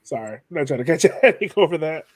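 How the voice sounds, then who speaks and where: A man's voice: on the high side, lively intonation, fast. A man hosting a podcast in a casual conversational style, musing informally and building rhetorical questions. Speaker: podcast host.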